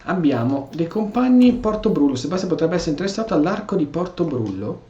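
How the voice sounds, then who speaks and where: A man's voice: on the high side, big pitch swings, fast talker, dark tone. A man with an Italian accent doing casual, informal gameplay commentary as a video host. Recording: dull, roomy sound, music behind.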